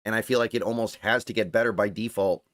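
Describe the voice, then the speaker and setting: A man hosting a casual sports livestream, thinking aloud and repeating points for emphasis as he answers viewer chat, his voice starting to go a little hoarse. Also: on the low side, fairly even intonation, fast, no echo, clean studio sound. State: voice getting strained, starting to go hoarse.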